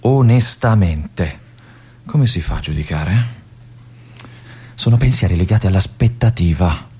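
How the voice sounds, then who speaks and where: An actor playing a restless young army lieutenant in a radio monologue, confiding and brooding in halting asides. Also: male voice, low-pitched, animated, mellow, husky, full character voice. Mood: uneasy. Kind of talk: theatrical monologue.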